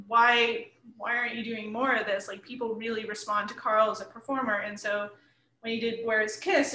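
A woman's voice: fairly high pitch, pushed and bright, echoey room, rough recording. A woman in her thirties chatting casually as an interviewed guest on a video call from a room at home. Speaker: interviewed comics creator. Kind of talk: casual panel discussion. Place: living room at home, indoors. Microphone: computer mic at a short distance, video call.

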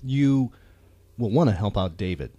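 A male podcast host talking casually and earnestly, with a lively, swinging pitch. He halts and repeats words as he works through his thoughts. The recording is close and dry. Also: unhurried, slightly rough, dry recording, highs cut off. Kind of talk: casual talk show monologue.

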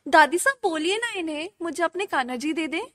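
A woman's voice: very high-pitched, expressive, brisk, a bit bright, dry recording. A woman playing an eager, devout, innocently insistent little royal girl in a radio drama. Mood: pleading.

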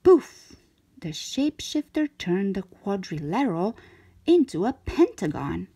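A woman reading a children's picture book aloud in expressive narration, drawing out key words for emphasis. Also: medium pitch, a little slow, slightly rough. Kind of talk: expressive scripted storybook narration for young children.

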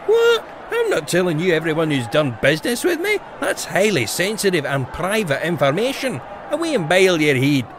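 An actor playing a grumpy, cagey bookie in a comic fantasy audio drama. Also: male voice, sits high, huge pitch swings, moderate pace, few pauses, a crowd in the background, background noise. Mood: indignant. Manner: irritable, brusque.

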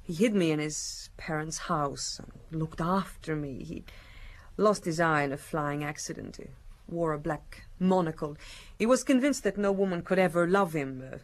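Low-voiced, cornered female crook. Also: normal speed. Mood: resigned confessing. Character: cornered, cunning female crook and gangster's moll. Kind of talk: radio drama acting.

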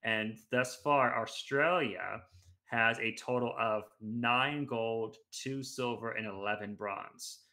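Bright-voiced man breaking down standings. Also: mid-range voice, slow. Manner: talkative, analytical, restarts mid-phrase. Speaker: podcast guest. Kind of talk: casual sports chat. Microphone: headset.

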